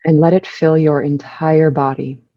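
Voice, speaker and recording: A woman leading a guided meditation, giving soothing instructions in measured short phrases with pauses between them. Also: deep voice, level tone, dull audio.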